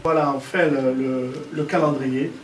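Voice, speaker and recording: A man's voice: mid-range voice, fairly even intonation, a little slow, a crowd in the background. A man giving an informal spoken presentation as a contest president, hesitating and repeating words as he explains, recorded at a distance. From Algerian family origin. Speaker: president of a model contest, speaker at press presentation. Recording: roomy echo, distant mic, faint noise.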